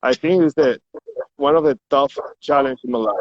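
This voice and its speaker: A man's voice: mid-range voice, moderate pace, no echo. Venezuelan man speaking English, muffled and dry. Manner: hesitant, repeats words while searching for English. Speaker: interview guest. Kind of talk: casual interview.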